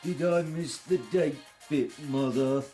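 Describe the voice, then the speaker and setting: Earnest childlike uncle, very slow, repetitive phrasing, radio play, faint music behind. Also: male voice, a little high, mellow.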